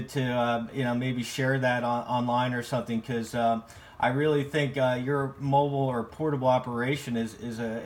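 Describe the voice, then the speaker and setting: An American man chatting casually as an amateur radio operator, with halting, repeated phrasing. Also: medium pitch. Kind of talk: casual ham radio conversation.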